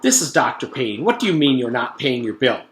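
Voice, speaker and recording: A man voicing a brisk, bossy female dentist from a children's storybook, with clipped, domineering speech. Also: medium pitch, sing-song, fairly bright tone. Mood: furious, indignant. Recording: roomy echo with clear background noise.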